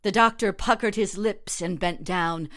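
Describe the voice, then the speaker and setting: A woman actor narrating a literary short story in a measured, dramatic reading style. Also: mid-range voice, fairly bright tone.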